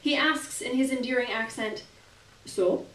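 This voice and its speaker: A young adult woman performing a short story aloud in a live, expressive literary reading with deliberate pauses. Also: fairly high pitch. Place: small gallery room, live stage reading. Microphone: stand microphone, recorded by distant camera. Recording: roomy, distant camera audio with hiss.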